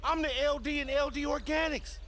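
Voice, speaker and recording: An actor playing a brash, boastful young American street hustler in a game cutscene, loud, swaggering and slangy. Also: male voice, very high voice, steady tone, brisk, slight background noise. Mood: indignant. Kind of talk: video game cutscene acting.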